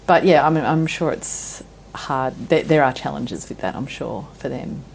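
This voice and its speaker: A woman's voice: rumbling low, moderate pace, gravelly, darkish tone, slight background noise, low-quality recording. A woman hosting an interview, asking questions in a friendly, encouraging way. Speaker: podcast host. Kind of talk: interview chat.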